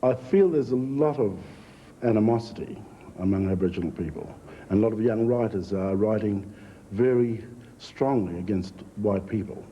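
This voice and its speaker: A man speaking reflectively in an interview as a theatre practitioner, measured and plain-spoken. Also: fairly low pitch, dull audio, slight background noise. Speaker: theatre group member being interviewed.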